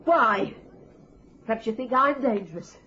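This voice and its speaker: A woman's voice: on the high side, expressive, a little slow, sharp tone. An actress playing an agitated, suspicious old woman who pleads and demands in an old radio thriller. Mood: menacing, agitated. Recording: noisy, dull old radio recording.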